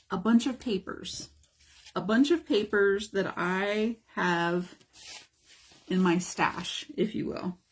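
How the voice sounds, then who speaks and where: A hoarse woman, a mixed media art teacher, chatting casually through a live craft demonstration, greeting viewers by name. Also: medium pitch, a little hiss or hum. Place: home art studio.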